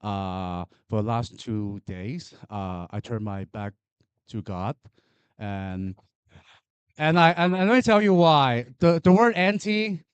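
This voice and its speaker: A man from Hong Kong, Chinese as his first language, speaking English with a Hong Kong accent from the floor of a conference, halting and repeating words as he builds to emphatic points. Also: mid-range voice, animated, clean tone, no echo, dull audio. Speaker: audience member.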